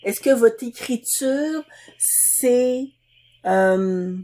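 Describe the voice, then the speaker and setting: Slow-speaking, mellow woman interviewer. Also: fairly high pitch, rough recording. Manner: unhurried questions, frequent short agreements.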